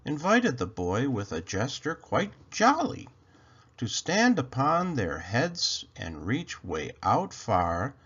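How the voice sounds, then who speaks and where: A man reading a rhyming children's story aloud in an expressive, theatrical way with drawn-out phrasing. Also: medium pitch, slightly rough, dull audio.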